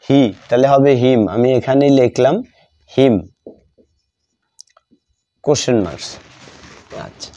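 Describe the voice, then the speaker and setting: A Bengali-accented man gives a grammar lesson at a very unhurried pace. His voice is mid-pitched and soft-edged, dark in tone. He dictates piece by piece, repeating phrases as he writes them out. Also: steady tone, lo-fi sound. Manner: deliberate, repetitive, spelling out each phrase. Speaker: English grammar teacher.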